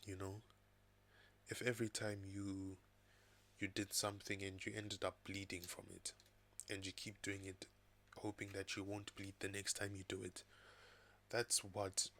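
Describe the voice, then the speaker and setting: A man vlogging casually from his desk at home, raspy from recovering from an illness, thinking aloud in a loose, rambling way. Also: sits low, level tone, unhurried, pauses often, bright, forceful tone. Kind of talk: casual talking-head vlog. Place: home desk with laptop and second screen.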